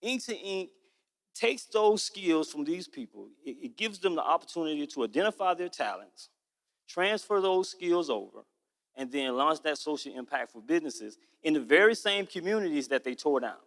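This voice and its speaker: A man in his forties, founder of a nonprofit, giving a rehearsed pitch speech in an earnest, storytelling way, slowing down on key points. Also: fairly bright tone. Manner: earnest storytelling with drawn-out emphasis. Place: stage in a large event hall. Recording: echoey hall.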